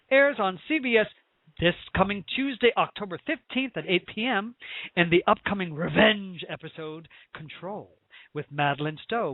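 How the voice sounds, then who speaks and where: A man's voice: high voice, no echo. An American man hosting a casual interview show, effusive and theatrical, with a teasing way of talking. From United States. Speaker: talk show host. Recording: tinny, phone-like narrow-band audio.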